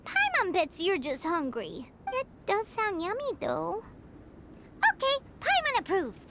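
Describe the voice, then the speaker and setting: A woman voicing a tiny, cheeky, excitable fairy companion in a video game, squeaky and perky. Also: unhurried, heavy background noise, heavily acted. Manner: perky, cheeky, speaks of herself in third person. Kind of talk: scripted game voice acting. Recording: loud music behind the voice.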